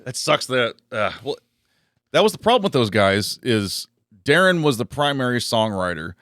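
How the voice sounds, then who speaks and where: A man's voice: mid-range voice, very animated, moderate pace, fairly bright tone, no room sound, clean studio sound. A man in his thirties, a guest comedian, chatting in a laid-back, rambling way and swearing freely. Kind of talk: casual chat.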